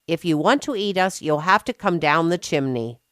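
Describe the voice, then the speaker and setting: A woman voicing a clever, kind young male pig in a children's story, earnest and calm. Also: on the low side, normal speed, no room sound, clean studio sound. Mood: bluffing.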